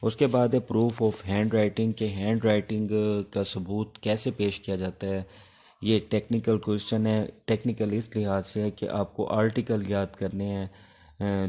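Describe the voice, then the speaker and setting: A man with a Pakistani accent gives an informal exam-prep law lecture, mixing in English legal terms, in a halting, instructive way with pauses between phrases. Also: fairly low pitch, dark tone.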